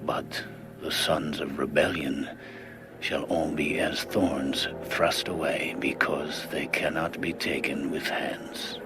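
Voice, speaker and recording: Man acting a very deep, solemn old king. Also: fairly even intonation. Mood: solemn, grave. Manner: solemn, weighty, deliberate. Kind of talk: dramatized scripture reading. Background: music behind the voice.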